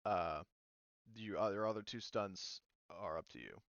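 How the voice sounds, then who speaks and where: Casting: male game master, halting and filler-heavy, smooth resonant tone, dull lo-fi sound. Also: low-pitched, lively intonation, a bit bright, dry recording. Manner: hesitant, lots of ums and restarts. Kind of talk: running a tabletop roleplaying game.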